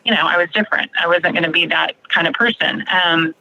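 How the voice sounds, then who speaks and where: A woman's voice: lowish voice, fairly quick, gravelly, bright, forceful tone, rough recording. A woman in her forties from Texas with an American accent, telling her personal story conversationally as a podcast guest. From Texas, United States. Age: 40s.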